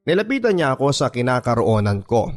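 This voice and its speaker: A man reading a listener's letter aloud as a radio drama narration, telling the story in first person in an earnest, measured way. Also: mid-range voice, very animated. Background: faint background music.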